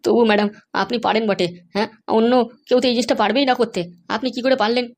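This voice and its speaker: A woman's voice: fairly high pitch, steady tone, very fast, natural voice. A woman voicing an anxious, obedient accomplice in a comedy cartoon, speaking in a worried and deferential way. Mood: deferential, questioning. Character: anxious, obedient accomplice serving a scheming madam.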